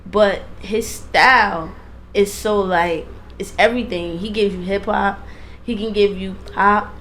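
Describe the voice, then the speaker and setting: A woman's voice: medium pitch, steady tone, unhurried, fairly bright tone, a little hiss or hum. A woman radio DJ chatting casually and emphatically in slang as she interviews a guest, often repeating phrases for emphasis.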